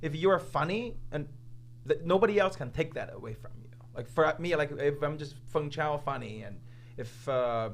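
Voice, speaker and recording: A man with a Chinese accent, a comedian chatting casually, speaking haltingly with frequent restarts. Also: fairly high pitch, lively intonation, a little hiss or hum. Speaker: comedian and club door host. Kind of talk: casual chat.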